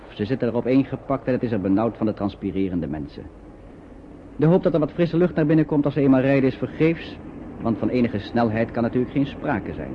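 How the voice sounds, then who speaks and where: An actor as a chatty, sympathetic narrator telling a radio play story in colloquial Dutch style. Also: male voice, medium pitch, natural voice. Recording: dull, noisy old radio recording.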